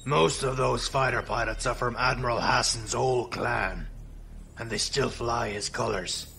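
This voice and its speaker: A man playing a gruff, wry veteran crew boss in a space audio drama, with a gravelly, folksy way of talking. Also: mid-range voice, steady tone, measured pace, bright, forceful tone, a little hiss or hum. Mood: admiring, reflective.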